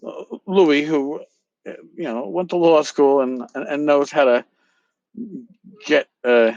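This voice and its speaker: A man hosting a podcast, talking casually and reading aloud in an emphatic, theatrical, sometimes halting way, on a slightly lo-fi recording. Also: on the high side, no room sound. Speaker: podcast host. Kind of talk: casual talk with dramatic reading of emails aloud.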